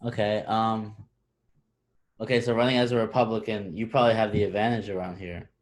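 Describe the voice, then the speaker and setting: A man's voice: lowish voice, steady tone, brisk. A man interviewing a guest, asking questions in a casual, somewhat hesitant way.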